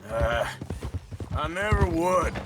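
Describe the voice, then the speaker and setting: A man playing a gruff, stubborn but loyal outlaw gunslinger in a Western video game, with an American accent and a blunt, drawling manner. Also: on the high side, expressive, slow, heavy background noise. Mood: matter-of-fact. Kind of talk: video game dialogue acting.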